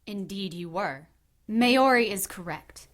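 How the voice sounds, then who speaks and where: A woman playing a dignified, stern high priestess of witches, formal and measured, in an audio drama. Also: expressive. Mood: stern dignity.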